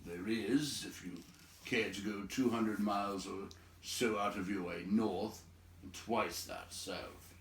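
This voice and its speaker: A man voicing a brisk, bossy old wizard from a storybook, with an emphatic, scolding and teasing manner. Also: fairly low pitch, fairly even intonation, fairly bright tone, echoey room, distant mic, a little hiss or hum, low-quality recording.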